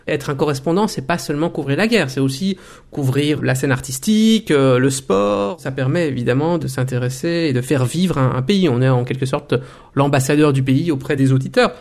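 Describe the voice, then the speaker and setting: A man in his forties, a documentary maker and former journalist, telling his story in a radio interview with thoughtful pauses and restarts. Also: on the high side, darkish tone. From Belgium. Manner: thoughtful, many hesitations and restarts.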